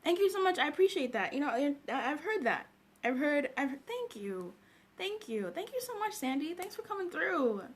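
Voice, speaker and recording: Chatty high-voiced woman from Zambia. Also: big pitch swings, normal speed. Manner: chatty, greets viewers by name, laughs often. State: just woke up. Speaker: livestream host. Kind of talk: casual live-stream chat with viewers.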